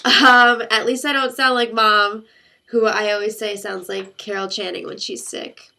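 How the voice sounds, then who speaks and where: Congested young woman chatting, gravelly voice. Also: medium pitch, fairly even intonation, a little slow, noisy background. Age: young adult. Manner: chatty, rambling storytelling with many fillers. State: congested, sick voice. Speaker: vlogger. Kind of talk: casual chat to camera.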